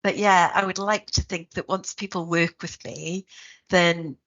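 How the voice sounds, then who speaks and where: Brief: middle-aged Geordie woman, academic leader, reflective and measured, slightly muffled recording. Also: fairly low pitch, fairly even intonation, fairly bright tone. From Newcastle, north of England. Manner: thoughtful, frequent ums and restarts. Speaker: head of a university school, guest. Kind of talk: reflective interview answers.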